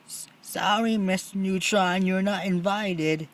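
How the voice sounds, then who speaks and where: Man as shy storybook boy, raised pitch, coy, halting, odd. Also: steady tone. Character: shy, odd boy wooing a grown woman.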